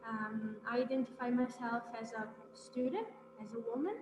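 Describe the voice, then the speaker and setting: A woman's voice: a little high, normal speed, loud music behind the voice. A young woman student introducing herself on a video call, cheerful and a bit unsure. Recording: echoey room with loud hum.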